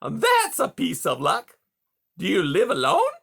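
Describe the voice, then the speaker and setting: A man's voice: high voice, huge pitch swings, a little slow, gravelly, a bit bright, slightly rough, voice pushed up, heavily acted. A man reading aloud, voicing a smarmy, hearty male beaver who chatters in folksy salesman sayings.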